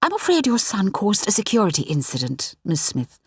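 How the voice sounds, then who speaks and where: A woman's voice: mid-range voice, wildly swooping pitch, fairly quick, breathy edge, no echo, studio quality, heavily acted. Woman voicing a stern, proper older female museum doctor. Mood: hushed, grave. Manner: polite, clipped, reproachful.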